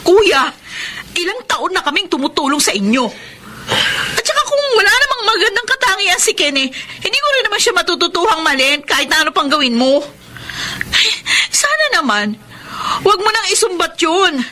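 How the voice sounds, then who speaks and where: A Filipino actress playing an earnest, caring but firm married younger sister in a radio drama. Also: female voice, very high-pitched, sing-song, normal speed, pushed and bright, a little hiss or hum, exaggerated voice. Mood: firm, pleading. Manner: earnest, admonishing.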